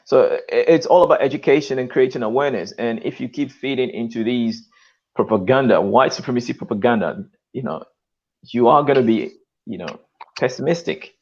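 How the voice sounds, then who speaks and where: A man's voice: mid-range voice, a little fast, darkish tone, breathy edge, muffled recording. A man hosting his own channel, talking in an emphatic, lecturing way, often tagging lines with "okay" and "you know.". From lives in Australia. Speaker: channel host. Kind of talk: live-stream call discussion.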